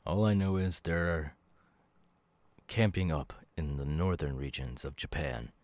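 A man voicing a gentle, melancholy demon who was once human, in a measured, reflective ASMR roleplay with pauses. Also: rumbling low, no room sound. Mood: quietly warning. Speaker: voice actor. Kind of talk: ASMR audio roleplay, slow intimate acting.

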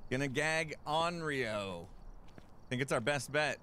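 Guy on a game voice chat, swoopy lively voice, calling stuff out and ribbing his buddy. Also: mid-range voice, slow, slight background noise. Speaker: co-player. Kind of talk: casual gaming chat.

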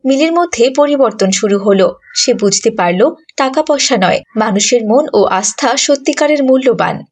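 A woman with a very high voice narrates a children's cartoon. She reads scripted lines in a storybook style, as the narrator of a moral tale. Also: animated, normal speed, darkish tone.

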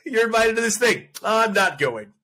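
A man's voice: high-pitched, big pitch swings, pushed and bright. A man in his thirties, congested, chatting casually as a podcast co-host over a video call. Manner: joking, quick banter. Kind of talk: casual chat. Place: home office, indoors. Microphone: large studio mic on arm, video call.

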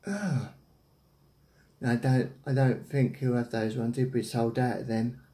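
A man's voice: mid-range voice, steady tone, unhurried, warm tone. A man in his thirties with an English accent chats casually as a caller, hesitant and rambling, in a domestic room. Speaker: prank caller. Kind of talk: casual phone chat. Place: small domestic room, indoors. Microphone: camera at about arm's length. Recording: echoey room.

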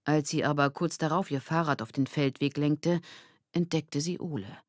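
A woman's voice: sits low, steady tone, normal speed, dry recording, clean studio sound. A woman reading a children's novel aloud as scripted audiobook narration.

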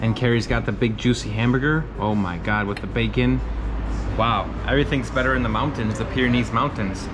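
A man's voice: mid-range voice, brisk. American guy in his 30s vlogging on a selfie cam, hyped and casual, noisy street and car sounds behind. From United States. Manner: excitable, exclaiming, casual. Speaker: travel vlogger. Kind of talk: travel vlog, talking to camera. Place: outdoors in a mountain village and in a car. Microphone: handheld action camera at arm's length. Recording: noisy outdoor ambience.

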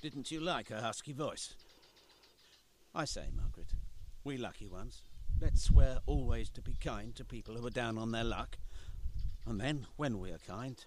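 An actor in a radio play voicing a kindly, whimsical father and struggling painter who gently admonishes as he talks. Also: mid-range voice, animated, normal speed, background noise, natural voice. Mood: reflective.

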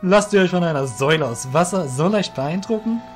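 A man's voice: sits high, lively intonation, brisk, faint music behind. A man voicing an arrogant male guild master who leads an army, commanding and dismissive, in an audio drama. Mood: scornful, rallying.

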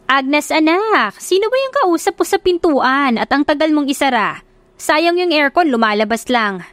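A woman's voice: sits high, animated, moderate pace, fairly bright tone, no echo, pitched above the performer's own voice. A woman voicing a nagging middle-aged mother who scolds her daughter about the electricity bill. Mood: scolding.

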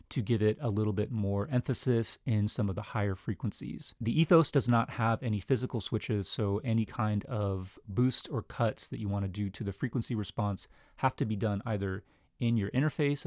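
A man's voice: on the low side, a little mellow, no room sound. A man in his thirties talking casually and conversationally as a tech reviewer, close on a studio microphone in a small home studio. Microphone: close studio microphone on desk stand.

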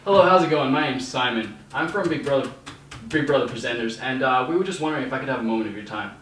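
A man's voice: mid-range voice, quick pace, fairly bright tone, a little hiss or hum. A young man improvising a prank phone call as a supposed producer, speaking hesitantly and repeating his phrases. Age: young adult. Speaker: prank caller posing as a TV producer. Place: small bedroom, indoors. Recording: roomy, slightly distant microphone.

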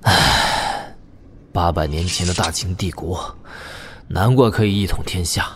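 A man's voice: deep voice, unhurried, a bit bright, a little hiss or hum. A man acting a thoughtful, wry young martial hero in a Chinese audio drama, given to sighing and musing. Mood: pensive musing.

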